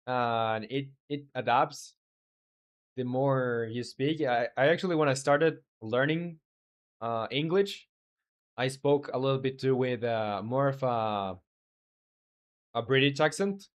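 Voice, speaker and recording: Halting man thinking aloud on stream. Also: medium pitch. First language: Spanish. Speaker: game developer streaming live. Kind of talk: casual live-stream chat and coding talk.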